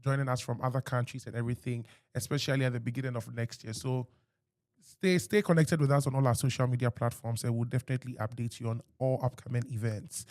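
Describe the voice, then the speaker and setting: A man's voice: mid-range voice, fairly even intonation, fast talker, a little mellow, no echo, studio quality. Ghanaian man hosting a podcast. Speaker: podcast host. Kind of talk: interview questions.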